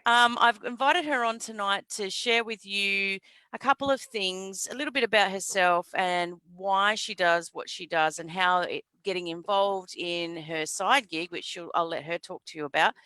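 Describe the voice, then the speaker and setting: A woman's voice: fairly low pitch, bright, forceful tone, dry recording. A woman hosting a live online interview, introducing her guest and asking questions in an upbeat, encouraging way. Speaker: host, interviewer.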